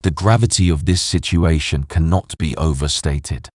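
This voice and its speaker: A man with an extremely deep voice delivers an official emergency announcement. He is solemn and measured, with formal scripted phrasing. The sound is dry and close. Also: husky, no room sound. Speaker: news announcer reading an emergency broadcast.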